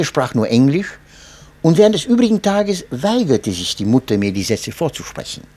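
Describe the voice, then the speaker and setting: Husky male memoir narrator. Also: a little high, darkish tone. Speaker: audiobook narrator.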